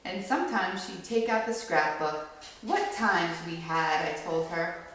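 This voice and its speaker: A woman reading a picture book aloud to children as a storyteller, with animated delivery that acts out the quoted lines. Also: on the low side, a little slow. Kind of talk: expressive read-aloud storytelling. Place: echoey indoor room with children listening. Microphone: far from the reader. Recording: distant mic, very echoey room, faint noise.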